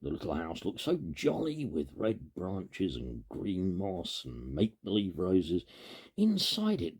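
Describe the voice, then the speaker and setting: A man with a very low, creaky voice reads a children's story at an unhurried pace. His pitch swings widely as he moves between informal asides and animated, husky storytelling. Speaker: storybook reader.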